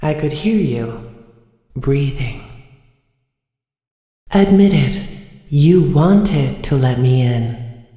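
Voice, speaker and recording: A woman's voice: very deep voice, lively intonation, extremely slow, pauses often, warm tone, full character voice. A woman playing a predatory, falsely polite animatronic in a horror video game, her voice echoing in a hall. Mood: coaxing, menacing.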